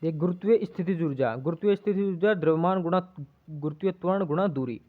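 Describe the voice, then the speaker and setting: A man's voice: fairly high pitch, fast. A man giving an exam-prep lesson as a physics teacher, explaining step by step, repeating himself and checking in often. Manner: repetitive, checks in often with tag questions. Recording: muffled, narrow lo-fi sound.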